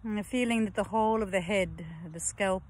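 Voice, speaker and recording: A woman yoga teacher guiding a relaxation session in a slow, soft, soothing voice with long pauses, at times breathy and close to a whisper. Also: medium pitch. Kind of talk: guided yoga nidra relaxation.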